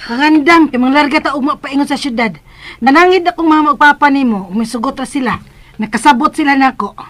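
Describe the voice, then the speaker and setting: A woman's voice: high-pitched, quick pace, fairly bright tone, slight background noise. An actress with a Visayan Filipino accent playing a worried, reproachful mother in a radio drama. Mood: insistent. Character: worried, scolding mother of a missing young man.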